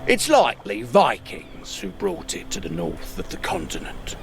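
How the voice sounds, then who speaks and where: A sly medieval merchant, theatrical and fawning. His pitch leaps about hugely and his voice is gravelly, as in a video game. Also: huge pitch swings, moderate pace, faint music behind. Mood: fawning, eager. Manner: theatrical, obsequious.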